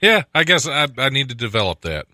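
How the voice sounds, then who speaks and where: Bright-voiced hesitant male co-host. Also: mid-range voice, sing-song, a little fast, no room sound. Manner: hesitant, stumbling, asks questions. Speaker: podcast co-host and comic. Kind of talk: casual podcast interview.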